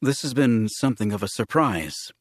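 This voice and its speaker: Man playing a careful, uneasy ambassador, lively intonation. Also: medium pitch, normal speed, dry recording, studio quality, close to an everyday voice. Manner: careful, diplomatic, earnest. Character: nervous, polite male ambassador, an ordinary man bluffing.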